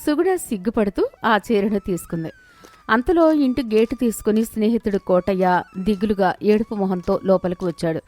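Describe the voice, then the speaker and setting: A woman's voice: medium pitch, normal speed, darkish tone, soft background music. A woman narrating a scripted moral story as its storyteller.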